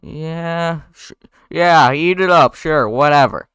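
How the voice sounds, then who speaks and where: A man's voice: sits high, animated, fairly bright tone, clear, resonant tone, no echo, pitched above the performer's own voice. A man playing a jealous, irate boyfriend with a strained, sarcastic, stammering delivery. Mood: seething politeness. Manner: stammering, seething, drawn-out sarcasm.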